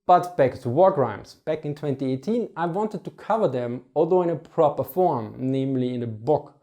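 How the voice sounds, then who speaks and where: A man from Austria, a native German speaker with an Austrian German accent, hosting a history channel and explaining things in a measured way, sometimes correcting himself. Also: on the high side, lively intonation, dark tone. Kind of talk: reflective talk to camera.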